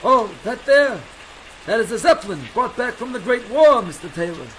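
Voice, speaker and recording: Eager young male ground hand, acted by a man, very high and bright, deferential, old-time radio drama with rainy ambience. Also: normal speed, a little hiss or hum, poor audio. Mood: chatty. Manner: polite, excitable. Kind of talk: 1940s radio acting.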